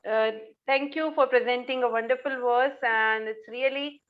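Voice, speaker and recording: A woman's voice: fairly high pitch, steady tone, moderate pace. A woman with an Indian accent formally hosting an online gathering, polite and hesitant as she introduces participants on a lo-fi call. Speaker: host of an online poetry gathering. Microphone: video call.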